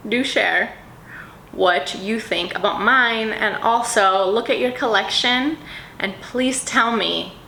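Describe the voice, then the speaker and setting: Perfume vlogger, woman, bright chatty voice, echoey room with faint background noise. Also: fairly low pitch, creaky. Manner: bubbly, rambling, dreamy descriptions with many fillers. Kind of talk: casual vlog chat.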